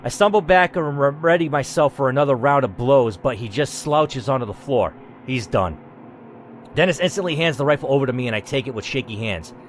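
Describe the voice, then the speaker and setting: A man reading an interactive story aloud, adding animated asides as he reacts. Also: medium pitch, brisk, slight background noise.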